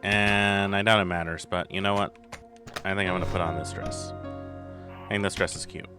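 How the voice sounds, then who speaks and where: A man's voice: low voice, level tone, moderate pace. A man doing casual live gameplay commentary as a streamer, muttering to himself and repeating phrases when flustered. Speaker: game streamer. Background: game music.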